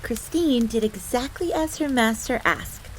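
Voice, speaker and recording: An excitable fox maid acted by a woman tells her tale in a theatrical, fairly high voice. She is devoted and speaks of herself in the third person, as in an audio roleplay. Light ambient noise sits behind her. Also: measured pace, natural voice, rough recording. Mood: devoted, proud. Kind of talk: in-character storytelling audio roleplay. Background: background ambience.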